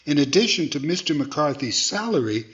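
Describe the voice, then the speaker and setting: A man playing a stern, measured judge in 1940s radio comedy style. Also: a little high, sing-song, a bit bright. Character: stern, measured male judge, easily flattered. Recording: dull band-limited sound.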